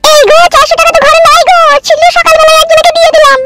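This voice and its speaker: Very shrill cartoon village housewife. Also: female voice, flat delivery, very fast, heavy background noise. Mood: eager, obliging. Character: shrill village housewife, anxious and obedient to her husband. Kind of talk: comic cartoon dubbing. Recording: loud music behind the voice.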